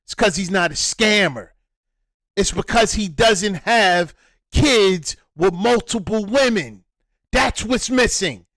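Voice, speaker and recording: Emphatic high-voiced man, slow and preachy. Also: lively intonation, a bit bright, no room sound. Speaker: reaction commentator. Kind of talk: reaction commentary.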